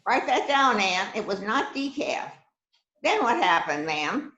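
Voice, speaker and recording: Shrewd detective boss, acted by a woman, slow delivery, swooping pitch, amateur mystery play, musing, self-assured. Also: big pitch swings, fairly bright tone. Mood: inquisitive. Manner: deliberate, musing with frequent hmms. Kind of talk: amateur readers theater murder mystery. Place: indoors on a virtual event call. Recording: roomy echoing video call sound.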